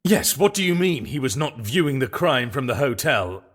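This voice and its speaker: A man's voice: medium pitch, very animated, moderate pace, a bit bright. A man playing a haughty, skeptical prosecutor in a video game courtroom drama, with formal, disdainful phrasing. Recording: faint music behind the voice.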